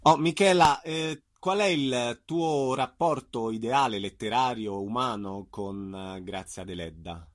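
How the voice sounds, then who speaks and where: A man's voice: mid-range voice, unhurried, few pauses. A man hosting a radio interview, introducing guests and asking questions in a friendly, informal way.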